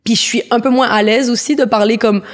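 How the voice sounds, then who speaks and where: A woman with a Québécois French accent chatting casually, relaxed and slangy with many fillers. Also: fairly high pitch, brisk. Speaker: co-host. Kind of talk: casual chat.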